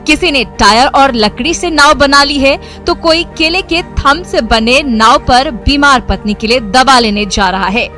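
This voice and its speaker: A woman's voice: high voice, unhurried, few pauses, bright, forceful tone, faint music behind. A woman reading formal scripted news narration as a voice-over reporter.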